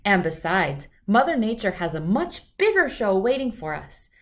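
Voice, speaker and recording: A woman's voice: medium pitch, big pitch swings, normal speed, close to an everyday voice. A woman voicing a firm, matter-of-fact mother bear in a children's storybook.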